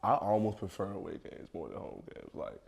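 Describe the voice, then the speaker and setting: A man's voice: low-pitched, sing-song, fairly quick, vocal fry, darkish tone, breathy edge. A young man, a college football player, talking in casual chat, hesitant and often repeating and restarting his phrases. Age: young adult.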